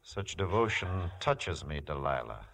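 Proud ancient king, forceful bright voice, imperious, lovesick, 1950s radio drama. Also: low-pitched, very animated, a little slow, gravelly, full character voice. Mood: lovestruck, grave. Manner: theatrical, imperious.

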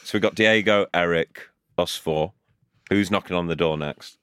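A man's voice: low voice, moderate pace, a bit bright, clear, resonant tone, no echo, clean studio sound. A man co-hosting a football podcast, laughing a lot in casual, playful banter. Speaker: podcast co-host.

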